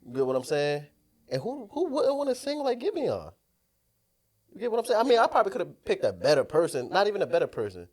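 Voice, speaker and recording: A man's voice: high voice, huge pitch swings, quick pace. A man chatting casually as a podcast co-host, with a congested, nasal voice and slangy talk where he repeats himself for emphasis. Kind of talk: casual banter.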